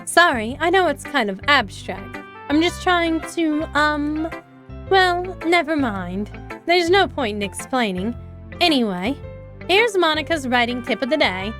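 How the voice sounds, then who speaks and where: A woman's voice: high-pitched, big pitch swings, measured pace, a bit bright, soft background music, heavily acted. A woman reading as a poised schoolgirl club president. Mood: cheerful. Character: confident, composed teenage club president girl. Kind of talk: reading visual novel dialogue aloud.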